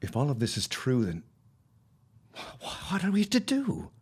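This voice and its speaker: A man's voice: a little high, wildly swooping pitch, measured pace, slightly rough, full character voice. An actor playing a grieving, guilt-ridden father of the early twentieth century, torn and doubtful, in an audio drama. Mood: shaken, resolving.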